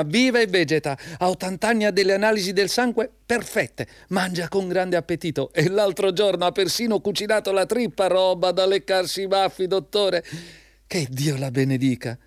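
Radio play: anxious male employee, earnest and self-justifying, high-pitched voice, dry close recording. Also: dry recording. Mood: earnest, rueful. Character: anxious, dutiful male employee defending himself at interview. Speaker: actor in a radio drama. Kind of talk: Italian radio play acting, dialogue.

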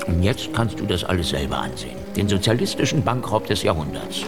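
A man's voice: deep voice, sing-song, brisk, over music. A man playing a cynical, menacing, wisecracking adventurer in a German radio drama, with a sardonic, mocking delivery. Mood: wry, storytelling.

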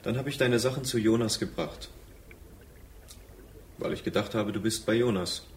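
An actor in a German radio drama playing a bitter former lover who speaks in measured, accusing phrases. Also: male voice, on the low side, a little hiss or hum, natural voice. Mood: bitter, reproachful. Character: reproachful, bitter former lover, sober and probing.

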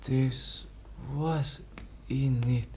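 A dazed, halting teenage boy. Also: medium pitch, fairly even intonation, warm tone, slight background noise. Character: dazed exhausted teenage boy, barely able to speak. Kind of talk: radio play acting.

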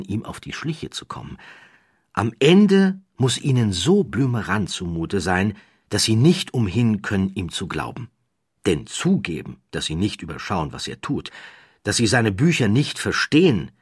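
A man's voice: fairly low pitch, husky, dry recording, studio quality. A man narrating an audiobook, telling the story dramatically with rising and falling emphasis.

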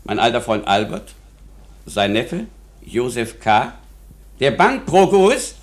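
An actor playing an ailing older lawyer in a German radio play, formal, long-winded and imperious. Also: male voice, medium pitch, sing-song, takes it slowly. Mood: self-important, formal.

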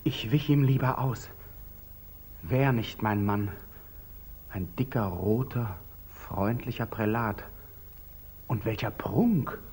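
An actor playing a proud, earnest young knight templar, formal and guarded, in 1940s German radio drama style. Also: male voice, on the low side, takes it slowly, long pauses, darkish tone, slightly rough, exaggerated voice. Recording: old muffled 1940s radio recording.

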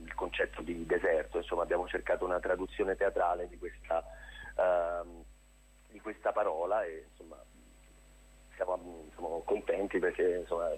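A man giving a casual, unscripted interview as a theatre director, halting and often repeating his words. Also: sits low, little pitch movement, slightly rough, poor audio. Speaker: theatre director, radio guest.

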